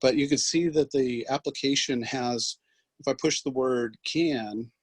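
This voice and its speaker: A man giving an instructional software demonstration as a trainer, explaining in a halting way. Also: mid-range voice, husky, no room sound. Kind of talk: instructional screen demonstration, loosely scripted.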